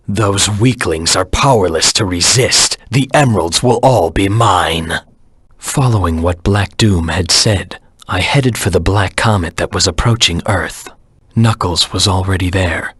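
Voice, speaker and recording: A man voicing a brooding, defiant antihero creature in a video game, grave and menacing, with a gravelly rasp. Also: sits low, sharp tone, no echo, studio quality, exaggerated voice. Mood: cold defiance. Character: brooding, defiant adult male antihero creature, cold and determined. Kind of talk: dramatic game voice acting.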